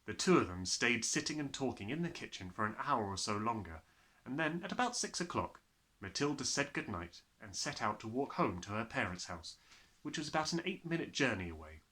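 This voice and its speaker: A man reading a children's story aloud as an expressive narrator. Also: medium pitch, a little fast. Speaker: audiobook reader.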